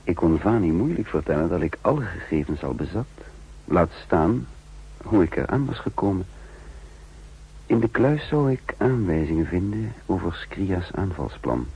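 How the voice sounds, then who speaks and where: An actor playing a shrewd, sardonic counter-espionage chief in a 1960s radio drama, musing dryly with thoughtful hums. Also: male voice, low-pitched, animated, moderate pace, mellow, husky, heavily acted. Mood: secretive narration. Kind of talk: 1960s Dutch radio drama acting with narration. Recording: dull, noisy 1960s radio recording.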